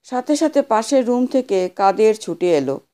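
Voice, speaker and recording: A woman narrating a scripted horror story as an audio storyteller, with a measured, dramatic delivery. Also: fairly high pitch, lively intonation, warm tone.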